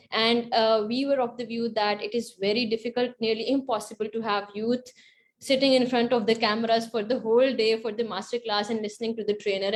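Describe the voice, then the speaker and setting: Slightly high-voiced woman panelist. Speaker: panelist, grant recipient. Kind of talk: conversational account of own experience with advice.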